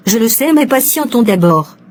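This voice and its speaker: A woman's voice: fairly high pitch, animated, moderate pace, darkish tone, slight background noise, natural voice. Woman playing a coaxing, evasive mistress. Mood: cautious, evasive. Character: evasive, money-minded secret mistress, cautious and coaxing. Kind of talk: animated soap drama dialogue.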